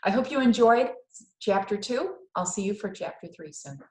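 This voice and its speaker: A woman's voice: medium pitch, animated, moderate pace, a little mellow. A woman reading a children's story aloud expressively, as a teacher would to young listeners. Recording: echoey room sound.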